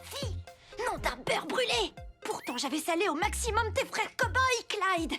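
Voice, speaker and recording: A greedy, scheming baker villainess for a children's cartoon. Her voice is very high and swoops dramatically. She gloats and exclaims theatrically, with music playing behind her. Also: expressive, normal speed. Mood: exasperated, bossy. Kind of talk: French-dubbed children's cartoon acting.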